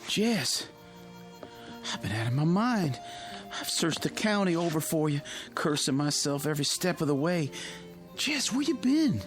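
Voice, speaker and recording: An actor playing an ardent, lovesick young country suitor with a Southern US accent, pressing and jealous, in a radio drama. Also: male voice, fairly high pitch, very animated, a little slow, soft background music. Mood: relieved, yearning. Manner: impassioned, pressing.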